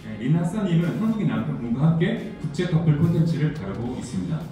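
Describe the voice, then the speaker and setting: A man emceeing a formal ceremony, introducing guests in a brisk, polite way. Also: fairly high pitch, big pitch swings, warm tone, soft background music. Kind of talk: formal event announcing. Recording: echoey hall, voice from far off.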